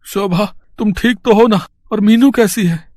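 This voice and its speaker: A man's voice: high-pitched, a little slow, a little mellow, dry recording. A man voicing a humble, earnest poor food seller in a storybook tale, polite and hesitant. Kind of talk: acted storybook dialogue.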